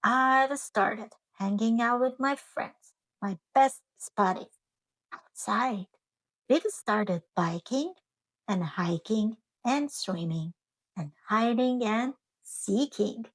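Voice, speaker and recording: Lady doing a kids book read aloud, takes it slow, expressive, no room echo. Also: fairly high pitch. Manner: expressive, drawn-out phrasing. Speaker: read-aloud storyteller, host. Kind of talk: children's storybook read-aloud.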